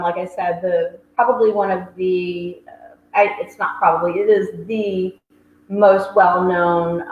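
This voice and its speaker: A woman hosting a virtual wine tasting, chatty and full of ums. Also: lowish voice. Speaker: wine shop host. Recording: roomy, dull sound with faint hiss.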